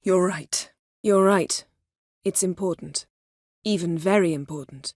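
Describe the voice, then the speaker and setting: A woman voicing a proud, sharp-tongued sorceress in a video game, speaking crisp, formal with an imperious, sardonic manner. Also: fairly low pitch, unhurried, no room sound, studio quality. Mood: softer, wry. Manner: crisp, formal, haughty and biting. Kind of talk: video game character dialogue.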